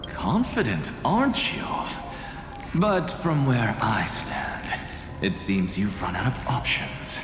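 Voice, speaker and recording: A proud scholar from a video game speaks in a reverberant hall with music behind him. He is cool and defiant, his measured, challenging delivery swinging widely in pitch. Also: wildly swooping pitch. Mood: defiant, taunting. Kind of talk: dramatic video game acting.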